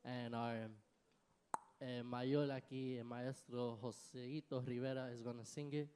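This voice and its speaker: Man giving slow, casual stage shout-outs. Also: mid-range voice, flat delivery, noisy background. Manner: casual, hesitant stage patter. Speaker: bandleader and emcee. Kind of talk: bilingual stage thank-yous and shout-outs. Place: concert hall stage.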